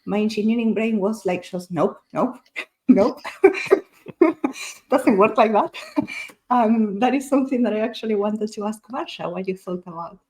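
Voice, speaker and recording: A woman's voice: medium pitch, unhurried, long pauses, darkish tone. A woman whose first language is Spanish, talking casually as a panelist in a book discussion, explaining her thoughts in a considered way.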